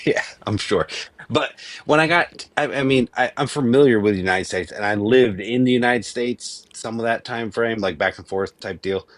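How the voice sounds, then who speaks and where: A man's voice: medium pitch, normal speed, no room sound. A man in his late thirties, from Venezuela, talking earnestly and emphatically in a casual debate, often restarting his sentences. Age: 30s.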